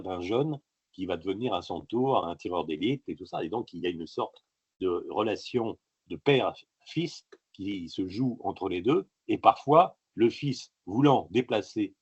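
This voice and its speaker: A man with a husky, fairly low voice, talking in a halting way and drifting from one tangent to the next, with plenty of filler sounds. The sound is dry and close, with a slightly dull, lo-fi quality. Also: no room sound. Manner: halting, digressive storytelling full of filler sounds. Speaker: author being interviewed. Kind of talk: casual interview talk.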